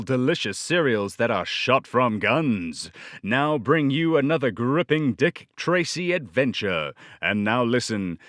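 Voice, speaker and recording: A man's voice: mid-range voice, a bit bright, dry recording, studio quality. A man voicing an earnest 1930s radio serial announcer with an emphatic salesman's delivery.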